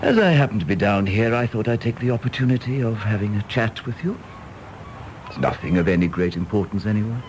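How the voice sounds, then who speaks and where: An actor playing a tactful, evasive English senior civil servant, polite and hesitant, in a period radio drama. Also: male voice, lowish voice, a little fast, background noise, natural voice. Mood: genial. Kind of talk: period stage-play radio drama acting.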